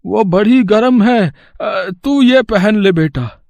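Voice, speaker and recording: A man voicing a poor, kindly, selfless older family man in an animated moral story, affectionate and reassuring. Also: high voice, slow, gravelly, no room sound, full character voice.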